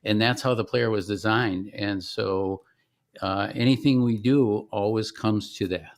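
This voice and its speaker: A man with a low, gravelly voice walks through a software product. His delivery is informal, that of a presenter explaining what he shows on a shared screen. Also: steady tone, measured pace. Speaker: software founder giving a product demonstration.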